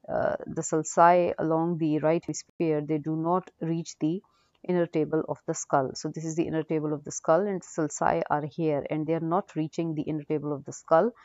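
Low, dark-voiced woman lecturing. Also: no echo. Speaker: radiology lecturer. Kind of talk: unscripted case-review lecture. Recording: dull, dry lo-fi audio.

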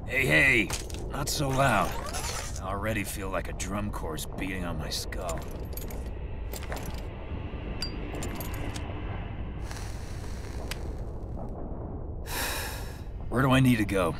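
An actor playing a cocky, sardonic soldier in a video game cutscene, with a wry delivery. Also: male voice, lowish voice, animated, drawn-out words, pauses often, background noise, full character voice. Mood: wry, groggy. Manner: wry, sarcastic, swearing. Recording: game audio under loud music.

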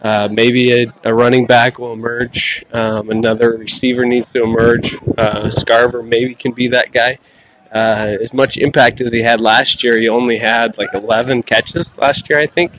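A man's voice: mid-range voice, level tone, a bit bright, rough recording. A man hosting a casual solo sports podcast, breaking down a team's players with frequent ums and restarts. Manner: halting, lots of ums and restarts. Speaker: podcast host.

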